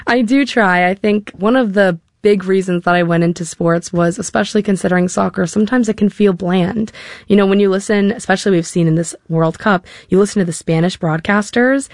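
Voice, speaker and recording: A woman's voice: medium pitch, a little fast, darkish tone, no echo. A nineteen-year-old American woman giving conversational interview answers into a close studio microphone. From Massachusetts, United States. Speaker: interview guest, stadium public address announcer. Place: radio studio.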